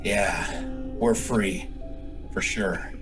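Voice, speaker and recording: A man's voice: lowish voice, very slow, music in the background. Man playing an earnest, anxious starship captain. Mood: subdued. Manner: earnest, pleading, short commands. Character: desperate, devoted male starship captain, anxious lover. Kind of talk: sci-fi audio drama acting.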